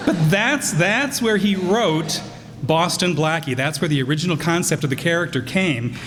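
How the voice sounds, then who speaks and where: A man's voice: fairly high pitch, normal speed, fairly bright tone. A man hosting a live radio play evening, introducing it in a folksy, jokey way. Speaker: announcer and host. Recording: reverberant hall, faint background noise.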